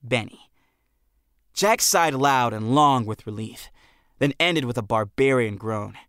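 A man's voice: mid-range voice, expressive, takes it slowly, fairly bright tone, no echo. A man narrating a story expressively as an audiobook reader, close on a studio microphone.

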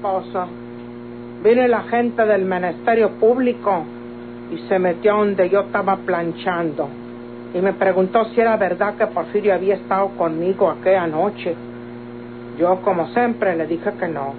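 An actress playing a simple, rueful young Mexican country daughter, folksy and resigned, in old radionovela style. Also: female voice, mid-range voice, fairly even intonation, measured pace, close to an everyday voice. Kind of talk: golden-age Mexican radionovela acting. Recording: tinny old radio recording, humming noise.

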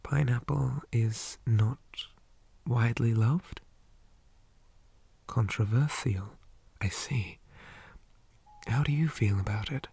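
A man playing a shy, polite zombie boy in an ASMR roleplay, speaking softly, often in whispers, hesitant and flustered with old-fashioned manners. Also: mid-range voice, takes it slowly, long pauses, no room sound, heavily acted. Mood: polite, tentative. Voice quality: soft, breathy, often whispered.

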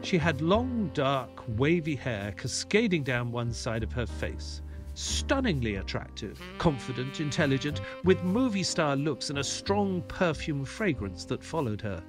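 Earnest male sidekick and narrator for audio drama, acted by a man: eager, animated, light music behind. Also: mid-range voice, a little slow. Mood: narrating.

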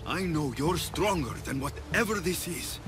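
A man's voice: a little high, lively intonation, measured pace, over music. A man playing a confident, caring young Greek mercenary in a video game, assured and reassuring. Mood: concerned, reassuring. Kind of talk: video game cutscene acting.

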